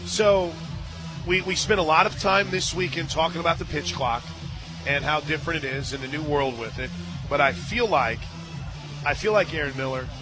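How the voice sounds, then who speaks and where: A man doing live radio play-by-play of a softball game, mixing commentary with casual banter from a booth at the ballpark. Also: on the high side. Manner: bursts into excited shouts on big plays. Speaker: play-by-play sports radio announcer.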